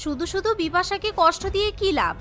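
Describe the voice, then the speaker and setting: A woman's voice: very high-pitched, big pitch swings, quick pace, fairly bright tone, soft background music, exaggerated voice. A woman voicing a meek, polite young bride in a cartoon, modest and deferential. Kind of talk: cartoon character dialogue.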